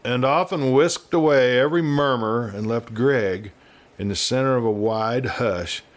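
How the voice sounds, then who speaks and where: Male storyteller for western audiobook narration, mid-pitched, deliberate and expressive delivery.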